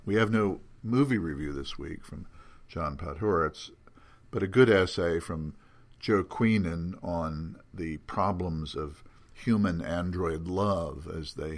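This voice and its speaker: Very deep-voiced older man, halting delivery. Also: vocal fry. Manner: hesitant, halting delivery with many ums and self-corrections. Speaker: podcast guest editor previewing magazine contents. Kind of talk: unscripted literary talk.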